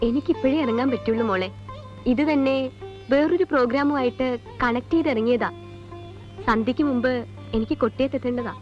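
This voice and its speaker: Woman acting a high-voiced, reassuring family aunty. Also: brisk, pauses often, lightly acted. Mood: friendly, explaining. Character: calm, reassuring adult family friend, an aunty. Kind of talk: Malayalam film drama acting. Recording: dull old film soundtrack with music.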